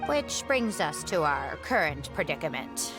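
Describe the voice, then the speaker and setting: An older woman scholar in a video game. Her voice sits very low for a woman. She speaks in measured, reflective phrases with a composed, wry edge, over faint music. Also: sing-song. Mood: wry complaint. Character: elderly woman scholar, former teacher, composed and reminiscing. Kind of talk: scripted video game dialogue.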